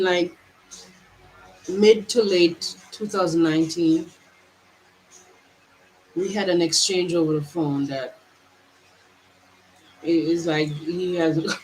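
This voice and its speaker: A woman from Jamaica, a spiritual vlogger, musing aloud to her livestream viewers in halting phrases, with many restarts and drawn-out words. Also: lowish voice, darkish tone, rough recording. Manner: halting, with frequent restarts and stretched, slowed phrases. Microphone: camera mic.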